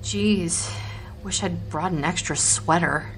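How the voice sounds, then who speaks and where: A woman playing a blunt, sharp-tongued teenage girl in an audio horror drama, speaking casually. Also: on the low side, slow, slight background noise, poor audio. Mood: blunt, needling.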